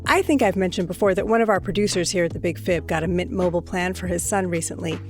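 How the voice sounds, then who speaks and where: A woman's voice: on the low side, fast, a little mellow, soft background music. A woman hosting a show delivers a scripted sponsor message, addressing the listener directly with questions. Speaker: podcast host reading sponsor ad. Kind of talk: scripted host-read advertisement.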